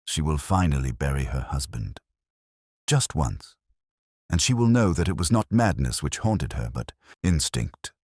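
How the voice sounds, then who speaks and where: A man playing a cool, precise Victorian gentleman detective in an audiobook, probing and solemn. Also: rumbling low, sing-song, measured pace, no room sound, studio quality, exaggerated voice. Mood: coolly judging.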